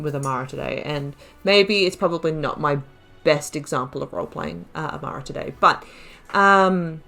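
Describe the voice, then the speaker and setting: A woman hosting a game playthrough, thinking aloud in casual, expressive commentary with emphatic repetition. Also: deep voice. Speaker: gaming video host.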